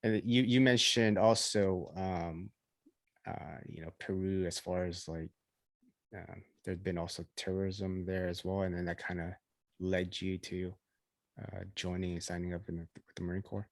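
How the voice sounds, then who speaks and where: A man hosts a podcast in a relaxed, easygoing way, asking questions with lots of fillers and restarts. His voice is recorded close and dry. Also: sits low, normal speed, dry recording. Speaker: podcast host. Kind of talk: casual interview hosting.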